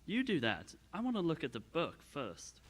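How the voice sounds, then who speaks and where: An actor playing a curious, bookish camper in radio drama dialogue. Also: male voice, a little high, wildly swooping pitch, normal speed, breathy edge, exaggerated voice. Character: curious, bookish male camper taking charge.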